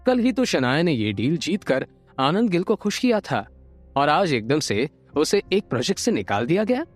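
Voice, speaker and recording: A man narrating an audio drama from a script, expressive and building suspense. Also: medium pitch. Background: light background music.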